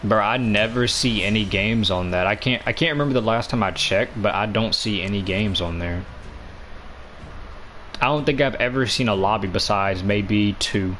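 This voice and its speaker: A nineteen-year-old man with a deep voice, chatting casually while streaming a game, full of fillers and restarts. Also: moderate pace, a bit bright, a little hiss or hum. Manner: rambling, many fillers and restarts. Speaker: gaming streamer.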